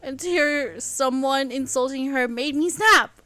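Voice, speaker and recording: Woman reading it out as a heartbroken young girl, choked up and halting, kinda slow. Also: fairly high pitch, a bit bright, dry recording, soft background music. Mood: upset.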